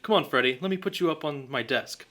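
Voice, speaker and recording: A woman's voice: low voice, fast talker, a bit bright. A woman playing a hearty, earnest male newspaper reporter in a superhero radio serial. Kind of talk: old-time radio serial acting.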